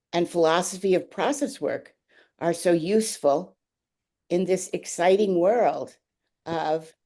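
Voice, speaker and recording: Woman, low voice, mellow, webinar host, formal, gracious. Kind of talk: introducing a speaker.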